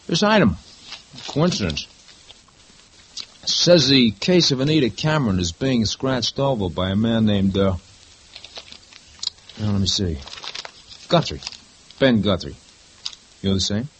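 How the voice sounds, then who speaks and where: An actor playing a mocking, domineering husband in a 1950s radio drama, talking with a sarcastic edge. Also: male voice, on the low side, expressive, unhurried, long pauses, a little hiss or hum, rough recording. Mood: sneering. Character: sneering, bullying husband and witness.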